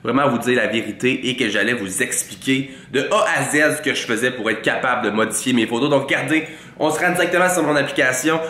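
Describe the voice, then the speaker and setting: A man's voice: medium pitch, quick pace, bright, forceful tone, roomy sound. A man in his twenties with a Québécois French accent, congested from a cold, giving a playful, mock-boastful tutorial to camera in a small room on a large studio mic. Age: 20s. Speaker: fitness vlogger. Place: small room with a green-lit wall. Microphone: large studio mic in front.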